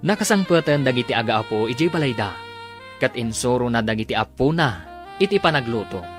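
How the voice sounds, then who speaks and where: A man's voice: mid-range voice, animated, brisk. A man with an Ilocano accent narrating a radio drama recap as its announcer. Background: music.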